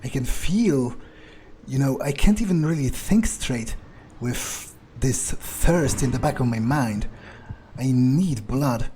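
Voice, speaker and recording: A man role-playing an arrogant, brooding vampire in a game playthrough, musing his thoughts aloud in a halting, dryly profane way. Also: medium pitch, mellow, slight background noise, natural voice. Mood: hungry, reluctant. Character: brooding, arrogant, blood-hungry male vampire.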